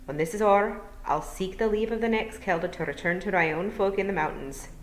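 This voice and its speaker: Lady doing an old pixie bard guy for storytime, slow n polite, rolls his r's, wistful, echoey room. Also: mid-range voice, a little hiss or hum, voice pushed up, natural voice. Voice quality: rolled R's. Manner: clear, slow, polite, formal.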